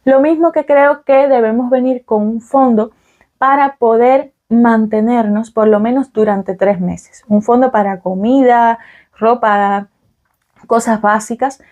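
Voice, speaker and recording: A woman's voice: on the high side, fairly even intonation, unhurried, a little mellow, highs cut off. A young adult woman from Cuba with a Cuban Spanish accent, talking casually to camera in a vlog, explaining with fillers and "ok?" check-ins. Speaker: vlogger.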